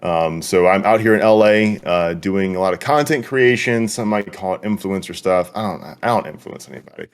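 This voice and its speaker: Deep-voiced young man chatting easily. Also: fairly quick, hardly any pauses, clear, resonant tone. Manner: easygoing, chatty with light laughs. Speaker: guest. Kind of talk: casual chat.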